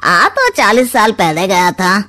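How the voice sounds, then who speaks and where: A woman's voice: medium pitch, very animated, a little slow, bright, forceful tone. A woman voicing a sly, manipulative middle-aged uncle in a dramatised family story, with an insinuating manner. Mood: sarcastic.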